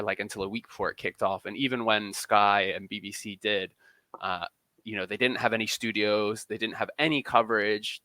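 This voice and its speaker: A man's voice: lowish voice, pushed and bright, dry recording. A man speaking as an interview guest, explaining things in a casual, conversational way with frequent "kind of" and "you know". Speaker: interview guest, football journalist.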